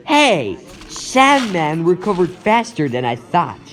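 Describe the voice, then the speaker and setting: Guy doing young teen superhero, highish animated voice, wisecracky but anxious, dramatic thinking out loud, comic dub. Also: slow, full character voice. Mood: determined. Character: wisecracking teenage male superhero, earnest and anxious. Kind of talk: comic book dub voice acting.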